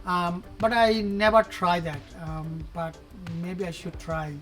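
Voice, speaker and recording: A man in his forties answering interview questions casually, halting and searching for words with many fillers. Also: sits high, a bit bright, faint music behind. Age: 40s.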